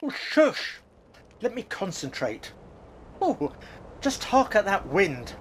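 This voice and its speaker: A man's voice: high voice, huge pitch swings, slow pace, slight background noise, heavily acted. A man playing a grumbling yet hospitable elderly father, a retired working man, in a radio play, with a blustery, exclamatory manner. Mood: fretful.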